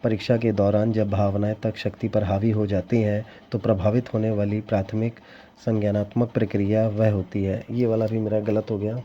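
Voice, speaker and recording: A man's voice: fairly low pitch, little pitch movement, normal speed, a little hiss or hum. A young man talking casually to his viewers, rambling with frequent restarts and sounding regretful. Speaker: teacher exam candidate sharing his scores. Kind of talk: casual vlog talk to viewers. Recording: dull lo-fi sound with faint ambience.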